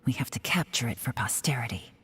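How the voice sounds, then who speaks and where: A woman's voice: rumbling low, lively intonation, quick pace, in a whisper, big-room sound. A woman voicing a composed, sly female spy with a dry, wry manner. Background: game music.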